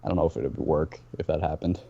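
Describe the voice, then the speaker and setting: A man's voice: a bass voice, steady tone, fast talker, vocal fry, husky, muffled recording. A man chatting casually as a podcast guest, often stammering and repeating his words. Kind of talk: casual fan discussion.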